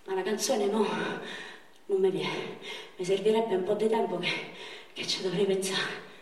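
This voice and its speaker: A woman's voice: medium pitch, moderate pace, natural voice. A woman on stage playing a wounded, pleading young man, with a Roman accent and raw, colloquial, repetitive speech. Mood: agitated, bitter. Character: wounded young man of thirty-one, pleading, wistful, foul-mouthed. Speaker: stage actor. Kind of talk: theatrical monologue in dialect. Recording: echoey theatre, distant microphone.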